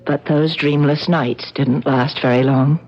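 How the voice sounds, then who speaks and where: Loyal gallery woman, earnest and encouraging, expressive radio drama acting, dull old recording. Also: low voice, a little slow. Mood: reflective.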